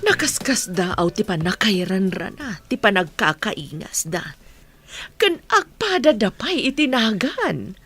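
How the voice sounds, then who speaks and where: A woman's voice: mid-range voice, sing-song, unhurried, a bit bright, faint music behind. A woman with an Ilocano accent playing a kindly, approving mother in a radio comedy drama. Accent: Ilocano Filipino.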